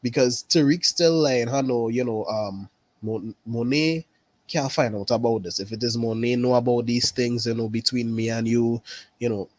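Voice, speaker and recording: A man from Trinidad with a Trinidadian accent, chatting casually about a TV episode, unhurried and repeating his phrases. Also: mid-range voice, a little mellow, dry recording. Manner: unhurried, repeats phrases, says "really and truly". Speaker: caller.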